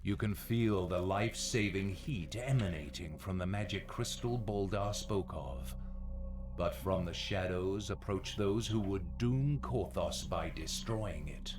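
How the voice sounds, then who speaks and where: An actor playing a solemn dungeon master, narrating a fantasy game quest in scripted dramatic style. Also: male voice, low voice, a little slow, background noise. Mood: solemn, ominous. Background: game music.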